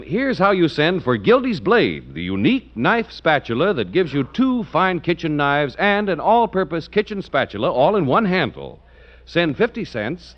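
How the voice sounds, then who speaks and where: Man, old-time radio announcer, emphatic, lively pitch, muffled broadcast with music. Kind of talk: 1940s radio commercial and credits.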